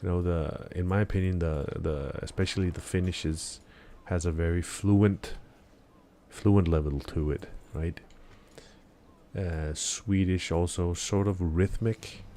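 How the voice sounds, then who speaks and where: A man chats with his stream viewers early in the morning while he plays, still not quite awake. His voice sits very low and barely moves in pitch. He rambles and hesitates, restarting sentences and leaning on fillers. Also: steady tone, unhurried, dark tone. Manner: chatting with viewers. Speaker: live streamer. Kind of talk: casual live-stream chat while gaming.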